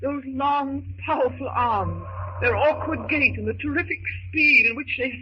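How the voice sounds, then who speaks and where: A woman's voice: high voice, unhurried. A woman playing a frightened young wife stranded in the jungle, with breathless, melodramatic dread in a 1930s radio style. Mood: uneasy. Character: frightened English wife stranded in the jungle, anxious, brave-faced. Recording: muffled, hissy 1930s radio recording.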